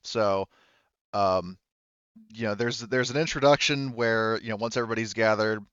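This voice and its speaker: A man narrating a tabletop game casually as game master, in a halting, conversational way. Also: lowish voice, a bit bright, clear, resonant tone, dry recording, muffled recording.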